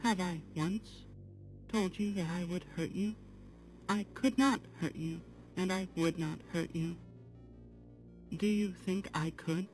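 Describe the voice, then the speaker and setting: A woman voicing a polite, apologetic artificial-intelligence assistant on a livestream, speaking formally in measured, halting phrases. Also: lowish voice, a little mellow, soft background music, rough recording. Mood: earnest, apologetic. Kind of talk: slow guided talk to a live chat.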